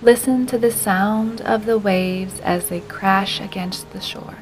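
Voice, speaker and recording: Female meditation guide, mid-pitched, slow and gentle, phrases trailing softly into breath, music underneath. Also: steady tone, poor audio. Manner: slow, unhurried, gentle delivery with soft breathy phrase endings.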